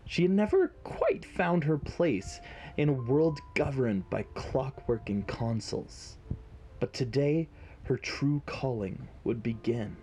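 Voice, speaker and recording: A man's voice: medium pitch, big pitch swings, takes it slowly, warm tone, soft background music. A man narrating a scripted fantasy story in an audio drama.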